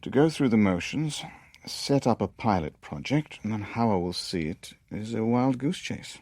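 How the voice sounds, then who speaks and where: A pragmatic businessman in a radio play. His voice is low and sits in a dry studio sound. He talks in a measured, wry, understated way. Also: animated, a little mellow, no echo. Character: pragmatic, wry male businessman, sceptical and worldly. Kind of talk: radio drama dialogue and first-person narration.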